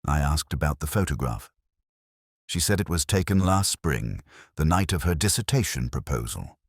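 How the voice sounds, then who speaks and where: A man reading first-person detective fiction as narrator, in a measured, reflective, literary manner. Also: a bass voice, expressive, breathy edge, no room sound, studio quality.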